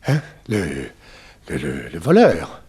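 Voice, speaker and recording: Husky low stammering young son. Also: male voice, huge pitch swings, slow pace, darkish tone, exaggerated voice. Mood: dumbfounded, nervous. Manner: hesitant, stammering. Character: sheepish, dumbfounded son caught out, stammering. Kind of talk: French radio serial acting, 1960s.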